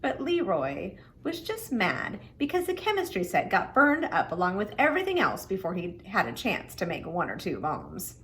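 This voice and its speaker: A middle-aged woman reading to children in an expressive, simple, explanatory way. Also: mid-range voice, moderate pace, few pauses, a little hiss or hum. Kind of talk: children's read-aloud with friendly introduction.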